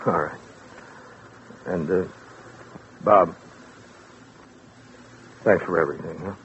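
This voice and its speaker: An anxious, trusting man on the run, low in voice, hesitant and questioning. The vintage radio drama sounds dull, with faint noise. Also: fairly even intonation, takes it slowly, gravelly, a bit bright, full character voice. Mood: subdued. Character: anxious, trusting male fugitive, dazed and submissive. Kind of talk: 1940s radio drama acting. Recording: muffled, noisy vintage radio recording.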